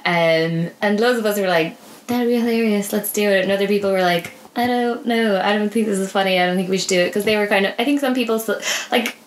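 Young irish woman rambling through a story on a podcast, normal pitch, roomy echo. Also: quick pace. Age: young adult. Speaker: podcast guest. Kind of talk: casual storytelling chat. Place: small indoor room with beanbags. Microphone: podcast microphone on stand. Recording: roomy, echoing small room.

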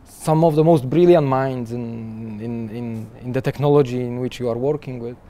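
Young male developer guest, warm mellow voice, easygoing interview talk that trips over itself. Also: mid-range voice, moderate pace. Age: young adult. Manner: chatty, restarts often with repeated words, laughs lightly. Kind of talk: conversational interview answers. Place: conference interview booth, seated in armchair.

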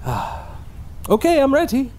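A man's voice: very high voice, huge pitch swings, a little slow, a little mellow, slight background noise, full character voice. An actor playing an eerie, overly polite and cheerful young man hitchhiking with kidnappers. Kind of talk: audio drama acting.

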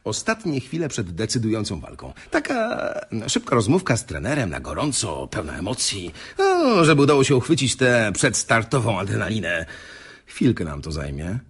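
An actor playing a sly, smarmy reporter in a radio drama, with ingratiating, mockingly polite delivery. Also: male voice, lowish voice, wildly swooping pitch, moderate pace, slightly rough, heavily acted. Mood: eager, ingratiating. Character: smarmy, sly male tabloid reporter, gloating. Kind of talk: Polish radio drama acting.

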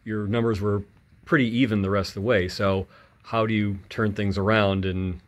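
A man's voice: on the low side, steady tone, moderate pace. A man working as a reporter, putting questions at a press conference.

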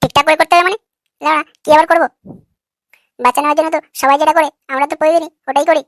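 A man acts out an irritable young cartoon husband with a high, artificially raised voice. He is crude and combative, firing words out very fast in a sharp, bright tone. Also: fairly even intonation, no echo, studio quality. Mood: irritated. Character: irritable, foul-mouthed, quarrelsome young husband. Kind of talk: comic cartoon dialogue.

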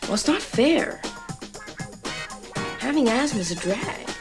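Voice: mid-range voice, big pitch swings, slow, over music. A young adolescent boy actor playing a sulky, dejected kid with asthma in an educational video. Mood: sulky, complaining. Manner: whiny, dejected. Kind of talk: scripted educational video acting.

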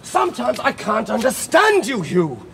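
An actor in a historical audio drama playing a proud, hot-tempered young knight, a bossy elder brother with an excitable manner. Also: male voice, extremely high pitch, a little slow, gravelly, a little hiss or hum, full character voice. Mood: exasperated. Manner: scolding, excitable, deferential to superiors.

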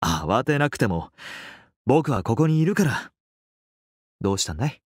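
An actor playing a suave, composed gentleman swordsman in a game, speaking calmly. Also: mid-range voice, normal speed, breathy edge, no room sound, clean studio sound. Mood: reassuring. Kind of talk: Japanese game character acting.

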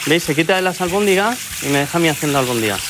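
A man's voice: on the high side, lively intonation, very fast, noisy background. A man in his forties from Spain, co-hosting a cooking show and playfully teasing his partner. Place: TV studio kitchen set.

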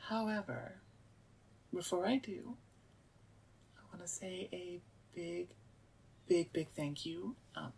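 A woman talks casually to her audience into a close microphone. Her voice has a creaky edge, and she is chatty, often doubling words for emphasis or correcting herself. Faint noise sits behind her. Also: on the low side, darkish tone, recorded from a distance, poor audio. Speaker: audio roleplay creator and voice actor. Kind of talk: casual outro chat to listeners. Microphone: close studio-style microphone, seated.

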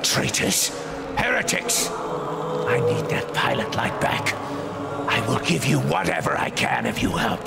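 An actor playing a fanatical, grieving cult priest of fire in a video game, preaching in a gravelly, grandiose, theatrical manner. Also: male voice, mid-range voice, big pitch swings, measured pace, exaggerated voice. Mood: indignant, pleading. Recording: loud music under the voice.

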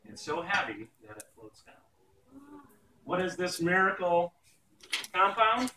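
Middle-aged guy prof doing a showy class demo, really animated voice, noisy room. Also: sits high, measured pace. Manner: projecting to a class, playful showmanship. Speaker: chemistry professor. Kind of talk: live lecture demonstration to students. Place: large lecture hall. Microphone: far camera in the audience. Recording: distant, echoey, noisy phone-style room recording.

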